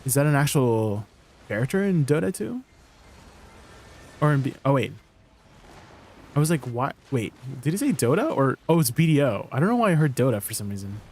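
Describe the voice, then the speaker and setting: A man's voice: medium pitch, sing-song, normal speed, long pauses, darkish tone. A man who grew up in Canada and whose first language is Tagalog, live-streaming a game. He chats casually, laughs often, and explains controls with plenty of ums. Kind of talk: casual live-stream chat and gameplay tips.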